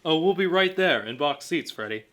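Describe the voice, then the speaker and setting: A woman's voice: low-pitched, expressive, a little slow, a bit bright. A woman playing a hearty, earnest male newspaper reporter in a superhero radio serial. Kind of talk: old-time radio serial acting.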